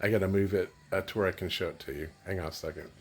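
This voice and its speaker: A man talking casually and unscripted as a vlogger showing gear, rambling and halting as he thinks aloud. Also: low voice, steady tone. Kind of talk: casual unscripted show-and-tell.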